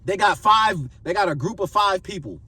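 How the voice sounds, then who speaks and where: Man in his 20s, husky voice with a wide swing in pitch, earnest repetitive advice-giver. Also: expressive, normal speed, pushed and bright, no room sound, distant mic. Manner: pleading and repetitive, repeats phrases for emphasis. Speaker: vlogger giving life advice. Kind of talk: earnest direct-to-camera advice.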